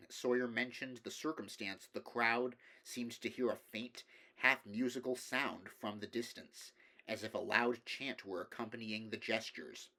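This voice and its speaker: A man reading literary horror fiction aloud, with measured, deliberate dramatic narration. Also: on the low side, fairly bright tone. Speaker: audiobook reader.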